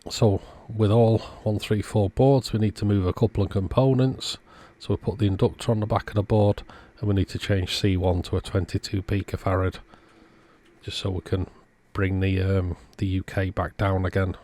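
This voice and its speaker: Male repair technician, lower-pitched, casual narrated commentary for a hands-on video. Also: flat delivery, moderate pace, warm tone.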